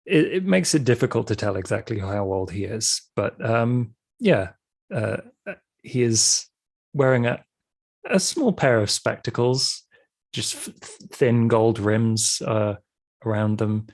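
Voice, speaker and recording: A man playing a tabletop roleplaying game, describing his character in a halting, deliberate way. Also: on the low side, lively intonation, darkish tone. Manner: halting, deliberate, many hesitations.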